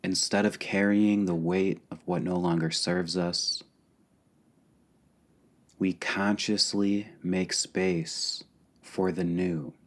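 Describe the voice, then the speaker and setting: A man leading a guided meditation calmly and deliberately, pausing between short phrases. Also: low voice. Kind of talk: guided meditation, slow and spacious.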